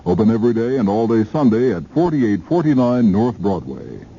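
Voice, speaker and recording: A man's voice: on the low side, big pitch swings, normal speed, warm tone, breathy edge, soft background music. A man working as a station announcer, reading a 1970s radio car dealership advertisement. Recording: muffled vintage radio recording.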